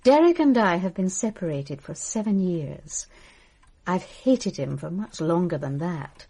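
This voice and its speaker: Husky, low-voiced bitter wife. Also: female voice, animated, unhurried, mellow, exaggerated voice. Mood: bitter, scornful. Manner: caustic, haughty, sardonic. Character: bitter, scornful estranged wife, newly widowed. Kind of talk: radio drama acting.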